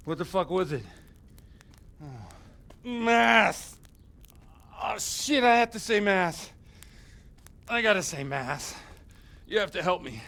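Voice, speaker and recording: A scheming village priest nursing a hangover, in video game style. His voice sits fairly high, and he talks in a dramatic, groaning, coarse-mouthed way, panicky and wheedling by nature. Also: expressive, slow, vocal fry, pauses often, sharp tone, full character voice. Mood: panicked, suffering. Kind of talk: video game cutscene acting.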